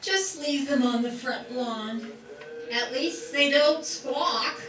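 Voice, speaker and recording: A woman's voice: high-pitched, slow pace, a crowd in the background. A woman playing a practical, exasperated mother in an amateur comedic radio play, wry and fretting. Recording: roomy, distant mic, loud music behind.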